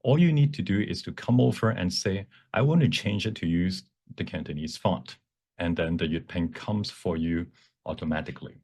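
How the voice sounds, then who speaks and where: A man teaching a live software demonstration, explaining step by step in a halting, self-repeating way and switching between two languages. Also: low-pitched, husky. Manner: bilingual, switches between English and Cantonese. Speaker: workshop presenter, instructor.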